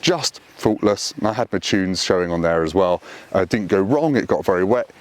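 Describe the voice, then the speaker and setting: A man's voice: expressive, moderate pace. A man, chatting casually to camera from a roadside lay-by, dry and self-deprecating. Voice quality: deep voice. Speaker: motorcycle vlogger. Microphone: camera on tripod.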